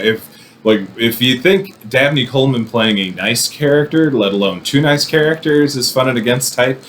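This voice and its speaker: A man giving a casual, rambling movie review with wry, animated asides. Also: mid-range voice, slight background noise. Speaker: film commentator.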